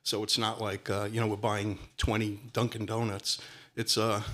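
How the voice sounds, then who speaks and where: A man's voice: fairly low pitch, little pitch movement, normal speed, fairly bright tone. A middle-aged man with a Brooklyn accent, speaking as a panelist in a rambling, conversational way. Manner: rambling, conversational, many fillers. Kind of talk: panel discussion.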